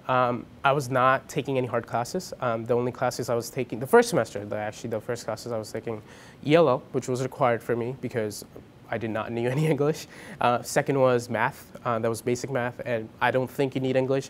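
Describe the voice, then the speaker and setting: A man's voice: medium pitch, slight background noise. Hesitant young man, native Punjabi speaker. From India, Punjab. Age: young adult. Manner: hesitant, many ums and restarts. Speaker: interviewee, college student. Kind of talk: casual interview answers. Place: dim indoor room with a lamp.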